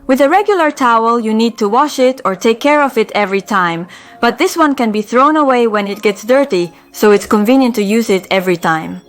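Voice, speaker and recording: A woman with a slightly hoarse voice, calmly and methodically explaining household products in a review vlog. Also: fairly high pitch, fairly quick. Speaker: home organizing vlogger.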